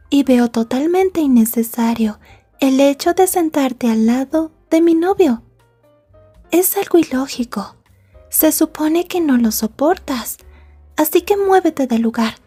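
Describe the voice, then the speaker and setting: Lady doing a possessive yandere schoolgirl, high mellow voice, wordy and polite but menacing, asmr roleplay. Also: animated, soft background music. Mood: prim, jealous. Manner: prim, wordy, measured, softly menacing. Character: possessive, polite young yandere girlfriend, prim and jealous. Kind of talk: Spanish-language ASMR roleplay acting.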